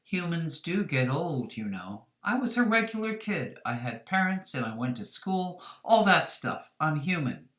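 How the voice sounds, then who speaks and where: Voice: mid-range voice, very animated, measured pace, few pauses, fairly bright tone. Irritable old man from a short story, acted by the narrator, casual and exasperated, in a roomy recording. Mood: irritated, insistent. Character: stubborn, irritable old man who looks young. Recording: roomy, echoing sound.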